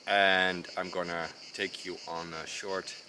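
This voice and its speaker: A man with a Dutch accent, speaking slowly and unevenly in a deep, low voice. He explains his ideas casually, pausing often with fillers and starting sentences over. Crickets and insects chirp clearly behind him outdoors. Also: flat delivery, noisy background. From Netherlands. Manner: hesitant, with many fillers and restarts. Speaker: designer explaining his approach. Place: outdoors in rural mountains.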